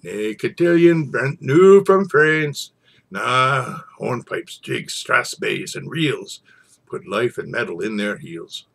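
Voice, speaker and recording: Slow, gravelly man reciting poetry dramatically. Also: medium pitch, lively intonation, fairly bright tone. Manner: theatrical, storytelling delivery with dramatic pauses and swells. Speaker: poetry reader. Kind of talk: dramatic recitation of an old Scots narrative poem.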